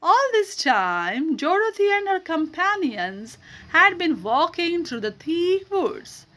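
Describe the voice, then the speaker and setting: Female children's storyteller, high pitch, very animated melody, reading slowly. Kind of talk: children's audiobook narration.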